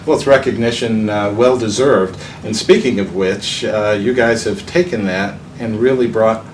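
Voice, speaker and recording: A man's voice: on the low side, normal speed, a little hiss or hum. A man hosting an upbeat promotional TV interview, addressing guests and viewers in a presenter's style. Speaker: TV show host interviewing guests. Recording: slightly distant mic, music behind. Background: background music.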